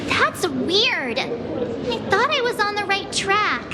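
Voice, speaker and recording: A woman voicing an earnest young game heroine who talks like a self-assured amateur detective, solemn and deliberate. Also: very high voice, big pitch swings, exaggerated voice. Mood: deflated. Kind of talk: anime-style game voice acting. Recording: loud music behind the voice. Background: loud game music.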